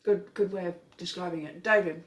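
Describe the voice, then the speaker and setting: A woman hosting a casual panel discussion, with an animated storytelling manner. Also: fairly low pitch, fairly quick, highs cut off. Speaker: panel host.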